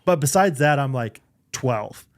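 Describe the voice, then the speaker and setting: A man's voice: mid-range voice, expressive, measured pace. Easygoing man hosting a podcast. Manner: easygoing, joking questions. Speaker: podcast host. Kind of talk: casual chat.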